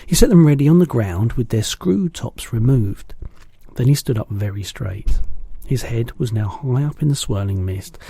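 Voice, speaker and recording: Man reading a kids book aloud, gravelly low voice, steady, dry close mic. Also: moderate pace, dry recording. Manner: steady storybook narration, low-key. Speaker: teacher.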